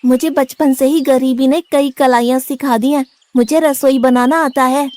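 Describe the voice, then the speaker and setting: Brief: high, blunt, stubborn young male disciple who complains about food, voiced by a woman. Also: steady tone, moderate pace, dark tone, no echo, lightly acted. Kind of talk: Hindi cartoon story dubbing.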